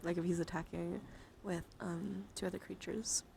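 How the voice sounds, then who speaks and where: A woman livestream host speaking in a soothing, mostly whispered ASMR style, breathy and casual, with playful encouragement. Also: fairly low pitch, flat delivery, moderate pace, a little mellow, slight background noise. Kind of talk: whispered ASMR card reading with casual chat.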